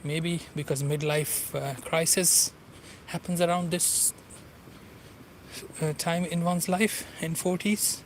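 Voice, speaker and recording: Man, 40s, non-native English speaker, casual walking commentary, gropes for words, slightly high voice, faint outdoor traffic noise. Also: steady tone, takes it slowly, low-quality recording. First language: not English. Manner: rambling, searches for words. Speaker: walking vlogger. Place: outdoors by a river.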